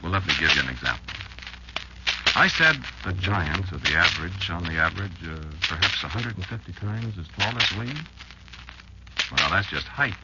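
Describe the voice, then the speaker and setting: An actor playing a tiny, embittered insect elder who patiently instructs a child in a dramatic, halting radio-play monologue. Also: male voice, sits low, animated. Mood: patient, explaining. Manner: dramatic, halting, deliberate storytelling with emphatic swings. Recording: muffled, very noisy old radio recording.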